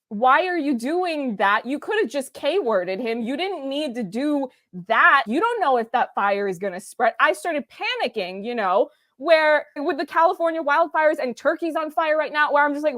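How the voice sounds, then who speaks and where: Female review host, higher-pitched, dry close sound, earnest and analytical yet conversational. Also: animated, a little slow, a bit bright, no room sound. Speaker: host of a series review discussion. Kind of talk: analytical casual discussion.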